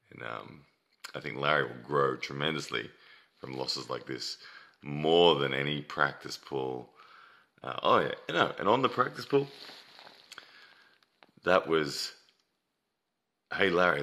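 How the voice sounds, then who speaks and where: A man's voice: a bass voice, vocal fry, bright, forceful tone. A man giving a casual, blunt and scolding monologue to viewers, emphatic and repeating words for weight, his voice starting to give out. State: losing his voice, throat-clearing. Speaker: competitive arm wrestler.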